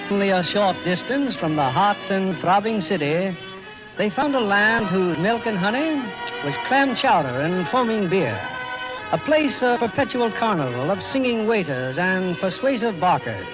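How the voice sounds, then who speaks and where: An American man announces a radio play in a high, lively, swooping voice. His delivery is polished and theatrical, in 1940s scripted style. Orchestral music plays clearly behind him on an old radio recording. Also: low-quality recording.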